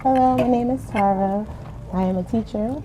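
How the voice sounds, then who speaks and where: A woman's voice: on the high side, warm tone, a little hiss or hum. A woman in her forties, a teacher, chatting on a dinner date in a polite, hesitant way with frequent fillers. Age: 40s. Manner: hesitant, polite, quick to laugh. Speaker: teacher, dater on a blind date. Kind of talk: casual dinner conversation. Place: restaurant dinner table.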